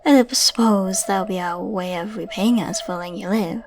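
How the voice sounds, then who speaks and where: A woman's voice: mid-range voice, moderate pace, background noise, natural voice. A woman playing a playful, flirtatious female secret agent in a scripted ASMR roleplay, coy and teasing as she addresses the listener. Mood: delighted. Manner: coy, teasing, chatty with tag questions. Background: ambient sound effects.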